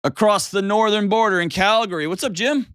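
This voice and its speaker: A man's voice: high-pitched, fairly quick, fairly bright tone, clear, resonant tone, no room sound. Texan man hosting a call-in show. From Texas. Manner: friendly, direct probing questions. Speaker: radio call-in show host. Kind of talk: casual call-in advice chat.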